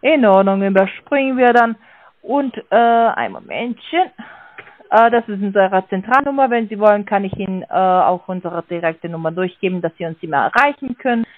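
A woman's voice: medium pitch, lively intonation, normal speed, no echo. A woman calling as a sales agent over the phone, talking hesitantly with many filler sounds and non-native grammar. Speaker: sales caller. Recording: dry tinny phone line.

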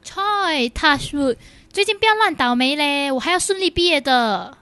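Chatty, high-pitched student best friend woman acting. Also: animated, moderate pace, sharp tone, dry recording. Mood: teasing, mock-envious. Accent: Malaysian Chinese. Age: young adult. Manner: exclamatory, colloquial, mock-complaining. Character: chatty, teasing young female student, loyal best friend. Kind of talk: radio drama acting.

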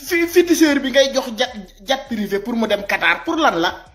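High-pitched Senegalese man, exhorting. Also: moderate pace, faint music behind. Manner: animated, repetitive, exhorting. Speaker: social media commentator. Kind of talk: impassioned informal video commentary. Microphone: phone at arm's length.

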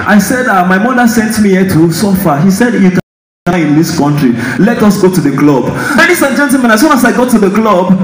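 A young man doing live stand-up comedy, loud and teasing, often laughing as he talks. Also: high-pitched. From Nigeria. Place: large indoor arena stage. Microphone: handheld stage mic heard through hall PA. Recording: echoey arena from far off, loud crowd. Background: crowd laughing and cheering.

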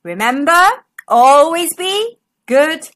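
A woman narrates a picture book for young children. Her voice is high and bright, moving up and down a great deal. She reads slowly, leaning into words with dramatic emphasis. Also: very animated. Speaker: children's storybook narrator and channel host. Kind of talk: scripted read-aloud for young children.

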